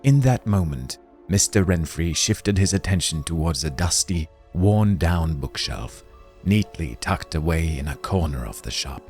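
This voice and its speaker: Man, dramatic storyteller, very low pitch, unhurried, theatrical, music behind. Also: expressive, darkish tone. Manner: deliberate, theatrical delivery. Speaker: story narrator. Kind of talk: dramatic scripted storytelling narration.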